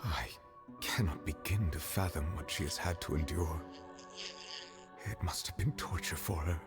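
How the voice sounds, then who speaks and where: An actor playing an earnest, composed nobleman in a video game, speaking in a formal, grave, measured way. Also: very deep voice. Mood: grave, compassionate. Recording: game dialogue under music.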